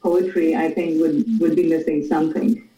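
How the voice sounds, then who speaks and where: A middle-aged guest from Pakistan, an Urdu speaker, giving measured, reflective answers with frequent restarts. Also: medium pitch, little pitch movement, dark tone. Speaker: guest, literature teacher. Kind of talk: thoughtful interview answers. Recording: roomy echo, distant from mic.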